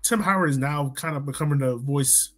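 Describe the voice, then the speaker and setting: An American man with a slightly high voice talks sports as a podcast co-host. His delivery is casual and conversational, as if working out each thought while he speaks. Faint music plays underneath. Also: fairly quick, fairly bright tone.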